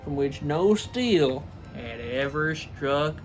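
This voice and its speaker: High-pitched man reading a storybook slowly. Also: expressive, soft background music. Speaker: reader, show host. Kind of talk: dramatic amateur storybook read-aloud with casual asides.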